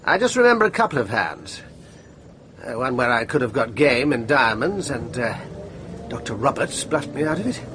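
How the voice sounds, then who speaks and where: An actor playing a brisk, blunt army major in a radio drama, with an offhand, clipped manner. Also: male voice, medium pitch, very animated, fairly bright tone. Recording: noisy vehicle ambience behind dialogue.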